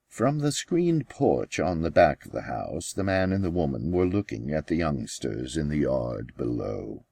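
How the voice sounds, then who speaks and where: A man narrates a novel in a very deep voice with a creaky, gravelly edge. His delivery is slow and deliberate, with dramatic pauses and a widely swinging pitch. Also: darkish tone, no echo, clean studio sound. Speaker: author reading own novel. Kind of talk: scripted audiobook narration.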